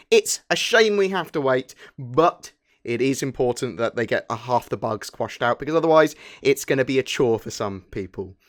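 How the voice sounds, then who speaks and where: A man presenting a gaming news roundup in an informal, chatty way, partly scripted. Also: medium pitch. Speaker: host of a gaming news channel.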